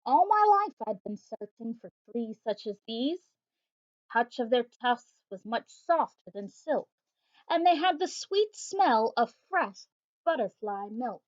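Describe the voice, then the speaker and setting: A woman teacher reading a rhyming children's picture book aloud in a rhythmic, expressive way. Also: fairly high pitch, measured pace. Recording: slightly dull lo-fi audio.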